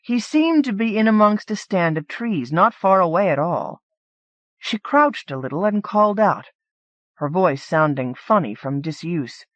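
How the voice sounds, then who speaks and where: A woman's voice: on the low side, expressive, a little slow, no echo. A woman reading literary fantasy fiction aloud as a scripted audiobook narration. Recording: dull lo-fi cassette audiobook transfer.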